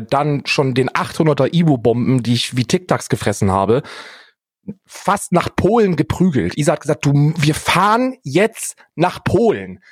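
A man's voice: on the high side, no echo, studio quality. A man casually telling personal stories as a podcast co-host, self-deprecating and given to dramatic exaggeration.

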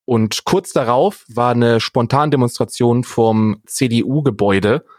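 A man's voice: mid-range voice, slow, no room sound, studio quality. A man co-hosting a casual comedic podcast, talking in an ironic, wordy, mock-formal way. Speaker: podcast co-host.

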